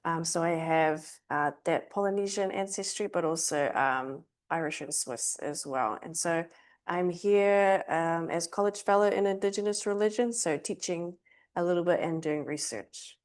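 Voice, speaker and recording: Nz woman, lowish voice, hesitant, says um a lot. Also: normal speed. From New Zealand. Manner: hesitant, many fillers. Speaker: college fellow, panelist.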